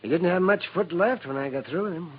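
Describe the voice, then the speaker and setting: An actor playing a folksy, crusty frontier town doctor who mutters asides in a 1950s radio western. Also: male voice, a little high, animated, quick pace, slightly rough. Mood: matter-of-fact. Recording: dull old 1950s radio recording.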